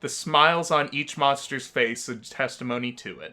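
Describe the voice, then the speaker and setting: A man narrating a visual novel aloud as a gaming host, with expressive, theatrical storytelling. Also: mid-range voice, normal speed.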